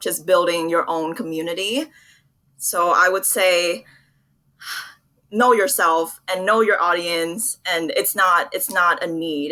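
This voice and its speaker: Bright, lowish-voiced young woman being interviewed. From Vietnam. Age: young adult. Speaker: interview guest, public relations professional. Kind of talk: conversational interview answers.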